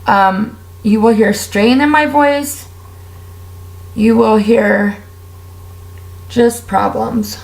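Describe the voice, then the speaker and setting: A woman with a raspy, strained voice, talking candidly and at length while doing her makeup for a vlog. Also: a little high, steady tone, drawn-out words, pauses often, roomy sound, poor audio. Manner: candid, heartfelt, rambling with fillers. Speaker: beauty vlogger. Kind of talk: casual personal rant while doing makeup.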